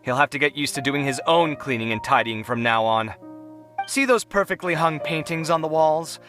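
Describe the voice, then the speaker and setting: An actor playing a proud, easily offended young scholar in an anime game, theatrical and exasperated in manner. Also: male voice, a little high, moderate pace, over music, close to an everyday voice. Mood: indignant. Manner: theatrical, indignant, expressive. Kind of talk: anime video game voice acting.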